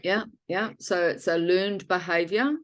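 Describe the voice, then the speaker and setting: A woman with a notably low voice leads a guided healing talk as the guest. She rambles and often corrects herself mid-sentence. She keeps circling back to rephrase her points. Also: steady tone, a little slow. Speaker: healer guest.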